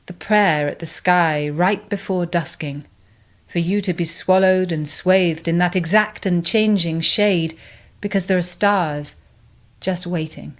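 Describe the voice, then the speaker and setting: Low-pitched woman reciting poetry slowly. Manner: measured, phrase by phrase. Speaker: poetry reader.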